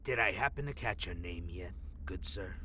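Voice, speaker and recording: An actor in an audio drama playing a cocky, joking hitchhiker, flippant and theatrically mock-formal. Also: male voice, sits low, animated, moderate pace, background noise. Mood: polite, dry. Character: cocky, joking young male undercover hitchhiker.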